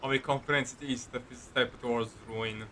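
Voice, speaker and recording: Very sharp, bright male game streamer. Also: mid-range voice, fairly even intonation, normal speed, a little hiss or hum. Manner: mocking, sweary banter. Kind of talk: casual gameplay commentary.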